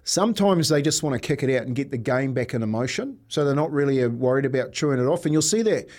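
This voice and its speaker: A man's voice: medium pitch, animated, quick pace, darkish tone. A man giving casual banter and analysis as a sports radio pundit.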